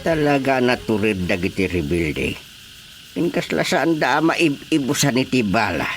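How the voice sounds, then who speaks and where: Lively-pitched soldier. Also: male voice, normal speed, soft background music. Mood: earnest, impressed. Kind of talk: radio drama acting.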